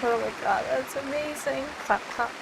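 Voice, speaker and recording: A woman's voice: high voice, moderate pace, vocal fry, background noise. A young woman giving casual gameplay reactions in a giggly, exclamatory way. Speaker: streamer reacting to a game.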